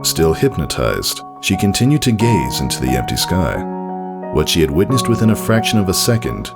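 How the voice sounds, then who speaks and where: A man reading a scripted story aloud as narrator, in a measured, deliberate and expressive way. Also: sits low, over loud music. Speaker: narrator reading fan fiction.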